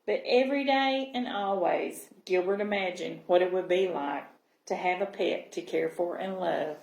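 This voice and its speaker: A woman reading a picture book aloud to young children as a library storyteller, in an expressive manner. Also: on the low side, gravelly. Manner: expressive, pausing to check understanding with listeners. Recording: roomy, echoing sound.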